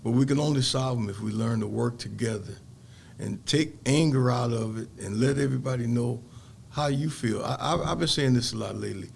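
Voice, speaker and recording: An older man with a gravelly, rough-edged voice. He speaks earnestly and reflectively as an interview guest. Faint background noise sits under the recording. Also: mid-range voice, a little fast. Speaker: guest, community organizer. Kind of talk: podcast interview.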